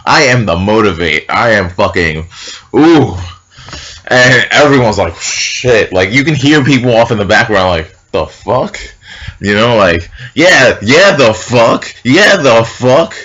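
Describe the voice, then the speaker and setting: A young man telling a story casually and with a lot of swearing, with a loud, heavy voice, acting out the shouted lines he quotes. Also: medium pitch, takes it slowly. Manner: animated, profane, acts out shouted quotes. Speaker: vlogger. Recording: dull lo-fi sound.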